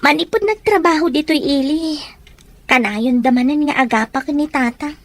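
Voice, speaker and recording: A woman's voice: high voice, moderate pace, dry recording. A woman with an Ilocano accent playing an observant, concerned daughter in a radio drama. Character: observant, concerned daughter talking about her parents.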